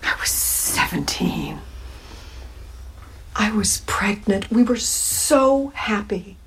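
A woman's voice: mid-range voice, expressive, slow, slight background noise. An actress playing an Irish woman bitterly confronting an old wrong, in a film clip. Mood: hurt, accusing. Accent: Irish (put on by actor).

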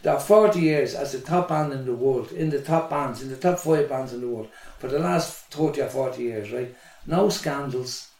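A man's voice: medium pitch, moderate pace, hardly any pauses, a little mellow. Man rambling in an echoey room. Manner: rambling, frequent "do you know what I mean", swears. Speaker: musician guest. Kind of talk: casual podcast storytelling. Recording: echoey room, voice a bit far from mic.

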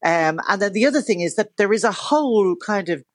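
A middle-aged woman from Ireland with a Dublin accent and a loud voice, chatting forthrightly as a radio guest and laughing easily. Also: medium pitch, expressive, brisk, dry recording, clean studio sound. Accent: Dublin Irish. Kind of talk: casual radio chat.

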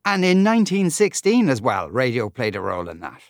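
A man's voice: mid-range voice, huge pitch swings, fairly quick, gravelly, dry recording, studio quality. An Irish man hosting a live radio interview in a curious, conversational way. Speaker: radio host.

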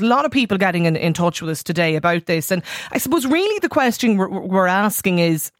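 A woman's voice: medium pitch, a bit bright, no room sound, studio quality. Chatty Irish woman hosting a radio phone-in. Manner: chatty, probing, friendly questions. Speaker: radio show host.

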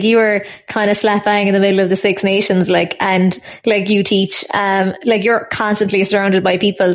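A woman with an Irish accent hosting a casual podcast chat, asking informal, meandering questions. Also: medium pitch. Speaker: podcast host interviewing.

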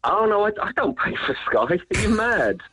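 A man from London with a London accent, calling in to a radio talk show and chatting with quick, lively enthusiasm. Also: high-pitched, gravelly, slightly rough, dry recording. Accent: London English. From London, England. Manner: chatty, animated, laughs easily. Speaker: caller. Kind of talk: phone-in chat. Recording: phone line.

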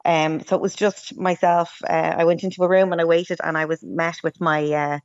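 A woman's voice: lowish voice, level tone, normal speed, darkish tone, dull audio. A woman in her thirties, a new mother, tells her story hesitantly with many ums in a radio interview.

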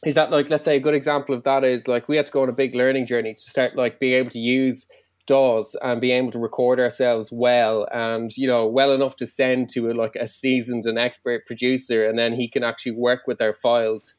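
Rambling musician, quick bursts. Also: male voice, medium pitch, level tone, no echo, studio quality. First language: Irish. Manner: fast, rambling, many likes and you-knows. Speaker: musician guest. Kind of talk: casual interview answers.

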